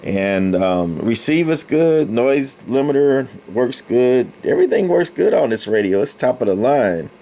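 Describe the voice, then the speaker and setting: A man giving a casual, halting technical show-and-tell as a hobbyist collector, rambling with frequent pauses. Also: mid-range voice, very animated, warm tone. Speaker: vintage radio collector presenting a radio on the bench.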